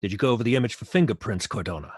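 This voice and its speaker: An actor playing a gruff, sarcastic, impatient police commissioner in a radio drama, scolding in a husky voice. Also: male voice, on the low side, lively intonation, racing. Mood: scornful, impatient. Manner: scolding, barking. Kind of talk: old-time radio drama acting.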